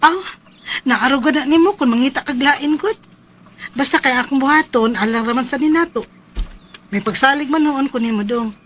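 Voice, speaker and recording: A woman's voice: sits high, moderate pace, fairly bright tone, slight background noise. An actress playing a firm, worried thirty-two-year-old mother in a Cebuano radio drama. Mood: firm, admonishing.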